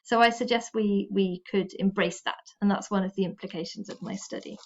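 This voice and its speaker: A woman from the United Kingdom, a university lecturer explaining her research in a measured, explanatory way. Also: medium pitch, steady tone, creaky. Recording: slightly dull recording.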